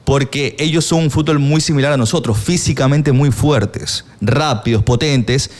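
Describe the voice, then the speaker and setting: A man's voice: mid-range voice, normal speed. Analytical football pundit, man. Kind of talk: sports talk panel discussion.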